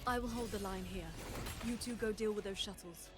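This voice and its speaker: A woman voicing a stern, stoic female guardian who speaks in formal, measured lines. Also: medium pitch, lightly acted. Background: game score music.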